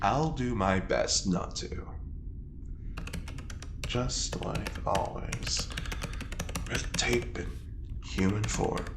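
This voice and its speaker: Very low, theatrical, charming prisoner. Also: female voice, drawn-out words, vocal fry, pauses often, background noise, heavily acted. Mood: dry. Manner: theatrical, teasing, drawling. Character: charming, wry older prisoner, theatrical self-styled rebel. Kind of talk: audio drama acting.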